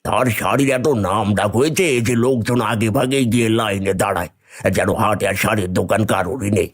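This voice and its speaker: A man voicing an envious, scheming poor villager who grumbles bitterly in an animated moral story. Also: fairly low pitch, brisk. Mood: envious grumbling.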